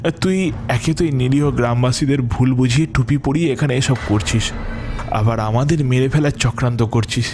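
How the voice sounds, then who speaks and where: A man plays an anxious captive in a horror audio drama, with prominent music behind him. His mid-pitched voice is earnest and hesitant, pleading with whoever holds him. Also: normal speed, darkish tone, close to an everyday voice. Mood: desperate pleading. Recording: music under the voice.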